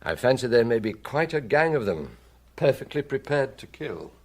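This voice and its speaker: A British actor playing a shrewd, commanding chief inspector with dry sardonic manner in a radio drama. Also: male voice, mid-range voice, sing-song, moderate pace. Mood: businesslike.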